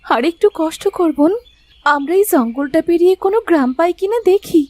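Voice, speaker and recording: High-voiced, caring elder sister. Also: female voice, expressive, brisk, warm tone. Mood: soothing. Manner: soothing, caring. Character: gentle, protective young elder sister, a poor girl. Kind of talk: Bengali cartoon voice acting.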